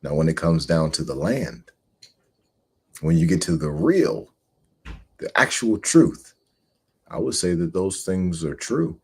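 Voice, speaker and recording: A man in his forties with a deep, low-set voice that carries a grainy, husky edge. He is a host who reads a book aloud in a measured, earnest way. He also shares his own thoughts on the reading. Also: expressive, a little mellow. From United States. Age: 40s.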